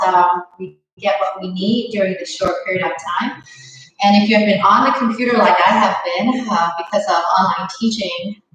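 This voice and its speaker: A woman leading a live online yoga class, her voice a little rough from allergies, giving calm, guiding cues. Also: mid-range voice, recorded from a distance. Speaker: yoga instructor. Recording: echoey room, away from the mic.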